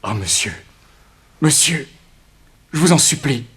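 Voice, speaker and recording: An actor playing an anguished, naive young student who confesses earnestly, with a husky voice, in a 1960s French radio crime drama. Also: male voice, fairly high pitch, expressive, takes it slowly, fairly bright tone, full character voice. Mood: urgent pleading. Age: young adult. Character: anguished young male student, naive and guilt-ridden suspect.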